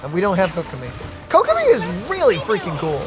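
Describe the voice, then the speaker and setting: A gaming channel host reacts to the game with animated, playful exclamations. The voice swings widely in pitch, over quiet game music. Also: fast talker. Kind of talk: casual let's-play commentary.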